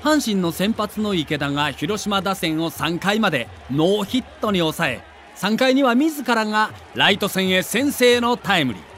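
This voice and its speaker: A man's voice: high-pitched, big pitch swings, moderate pace, few pauses, fairly bright tone, slight background noise. A man giving dramatic scripted narration for an audio documentary.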